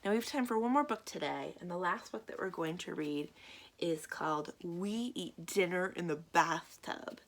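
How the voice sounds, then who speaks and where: A woman's voice: lowish voice, normal speed. A woman reading picture books aloud and chatting to young listeners as a children's librarian. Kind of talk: children's picture book read-aloud and chat.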